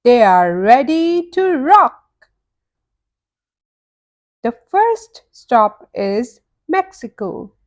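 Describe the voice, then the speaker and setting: Female storybook narrator, high and animated, extremely unhurried delivery for small children. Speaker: children's read-aloud narrator.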